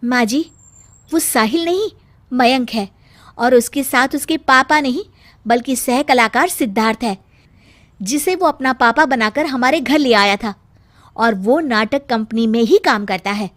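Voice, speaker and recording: A woman voicing an earnest, sharp-minded young daughter-in-law in an animated story. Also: a little high, measured pace, natural voice. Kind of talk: animated Hindi moral story dialogue.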